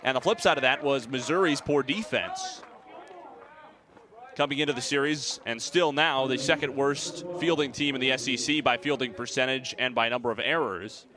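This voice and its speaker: A young American man calling baseball play-by-play in a measured, articulate way. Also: medium pitch, crowd noise. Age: young adult. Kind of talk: sports play-by-play commentary.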